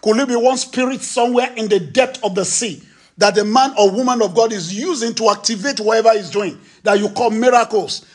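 A middle-aged man preaching a sermon from a church stage into a handheld microphone, emphatic and acting out little dialogues. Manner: emphatic preaching, acts out dialogue with rising pitch. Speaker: pastor. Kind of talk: sermon teaching.

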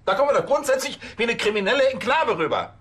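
An actor playing an irritable, flustered police chief who scolds and stammers in a TV crime comedy. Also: male voice, extremely high pitch, expressive, fast, a bit bright. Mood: exasperated. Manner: indignant, stammering. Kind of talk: German TV crime comedy acting.

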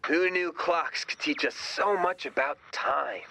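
An actor playing an excitable, gullible, earnest young man in an animated video game, melodramatic in delivery. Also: on the high side, a little slow, gravelly, no echo, exaggerated voice, poor audio. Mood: excited.

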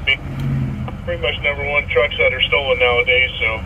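A man's voice: mid-range voice, fairly even intonation, a little fast, muffled recording. A man chatting casually as a trucker, heard through a CB radio. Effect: CB radio transmission. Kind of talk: casual chat. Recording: distant CB speaker, faint vehicle noise. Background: faint vehicle noise.

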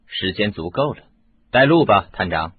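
A man's voice: lowish voice, lively intonation, moderate pace, a little hiss or hum, natural voice. An actor playing a confident, persuasive male sleuth in a radio drama. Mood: brisk. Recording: muffled phone-like radio recording.

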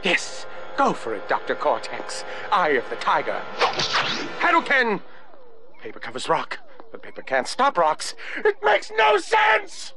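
A man's voice: sits high, huge pitch swings, unhurried, over music, exaggerated voice. A man playing an eccentric, obsequious henchman scientist with erratic emphasis in a cartoon video game. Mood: manic.

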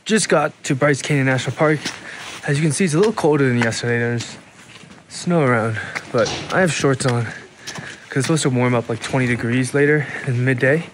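A young man talks into a phone at arm's length outdoors. His voice is mid-pitched and plain, and he delivers the facts in an easy, informative way. There is clear background noise around him. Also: a little fast. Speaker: travel vlogger. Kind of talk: casual travel vlog narration. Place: outdoors at a snowy canyon overlook. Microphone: phone camera at arm's length.

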